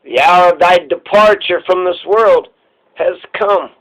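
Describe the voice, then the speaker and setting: A man's voice: high voice, measured pace, fairly bright tone, pitched below the performer's own voice, low-quality recording. A man voicing Death as a stern, solemn figure with grave archaic speech in a storybook reading. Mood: stern, reproachful. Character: Death personified, solemn, stern male reaper.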